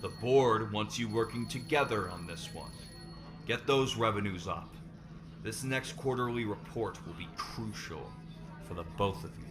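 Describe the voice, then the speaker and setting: A man playing a stern, businesslike lord on a company board, firm and measured, in an ASMR roleplay. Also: lowish voice, music in the background, natural voice. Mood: grave, firm. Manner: measured, firm, matter-of-fact.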